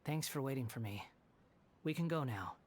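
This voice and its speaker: An actor voicing a polite, subdued young male wanderer in a video game, soft-spoken and formal, in faint noisy audio. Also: mid-range voice, animated, moderate pace, lightly acted.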